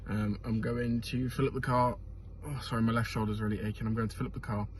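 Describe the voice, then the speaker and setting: Ill young man, flat low voice. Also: a little hiss or hum, low-quality recording. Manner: rambling, candid, swears casually. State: ill, sore throat, sniffly. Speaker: vlogger. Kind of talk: casual vlog diary.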